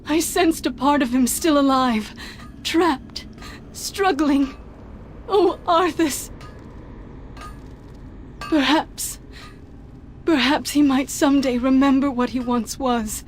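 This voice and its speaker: A woman playing a tender, grieving young sorceress in a fantasy game, with halting, emotional phrasing. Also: high-pitched, slight background noise. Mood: tearful hope. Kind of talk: fantasy game cutscene acting.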